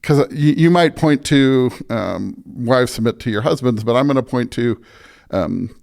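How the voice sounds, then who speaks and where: A man's voice: medium pitch, lively intonation, a little slow, creaky, a little mellow. An American man talking as a pastor in a conversational interview, earnest and emphatic, often checking in with "right?" and "you know". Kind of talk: conversational podcast interview, explaining beliefs.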